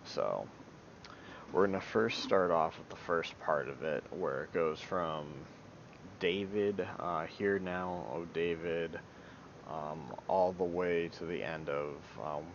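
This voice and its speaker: Male lay Bible teacher, gravelly, unsure and apologetic, dull rumbly recording. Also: takes it slowly, slight background noise. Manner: hesitant, many ums, apologetic. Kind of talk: informal Bible teaching talk.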